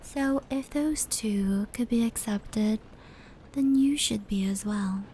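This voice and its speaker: A woman acts out a gentle female yokai telling stories in an ASMR roleplay. Her delivery is very unhurried and soothing, soft and breathy, often slipping toward a whisper. Clear rain and brushing noise runs behind her. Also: fairly high pitch, low-quality recording. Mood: gentle, concerned. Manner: unhurried, soothing, measured narration. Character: gentle female yokai storyteller, caring and protective companion. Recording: steady rain and brushing noise layered over. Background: indoor rain patter and fur brushing.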